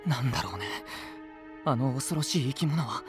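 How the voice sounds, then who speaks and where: An actor playing a gentle young knight burdened by devotion, in an anime-style voice drama. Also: male voice, medium pitch, animated, moderate pace, music in the background, natural voice. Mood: uneasy.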